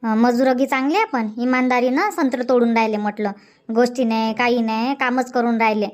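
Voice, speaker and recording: High-voiced nagging village wife played by a woman. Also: a little fast, darkish tone, close to an everyday voice. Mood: chatty. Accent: Varhadi Marathi. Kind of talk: comic cartoon dialogue dubbing.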